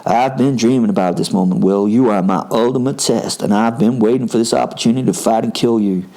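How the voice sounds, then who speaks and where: A man's voice: lowish voice, a little fast, mellow, natural voice. A man voicing a huge, overconfident American super-soldier in a story, with an attempted American accent and a menacing, boastful, challenging manner. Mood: reverent, confident. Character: cocky, huge American male super-soldier itching to fight.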